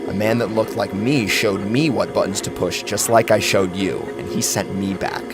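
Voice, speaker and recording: A man's voice: fairly low pitch, moderate pace, voice pushed up, natural voice. A man voicing a weary, bitter middle-aged man who explains with urgent resignation in a dramatic horror story. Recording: music bed under the voice.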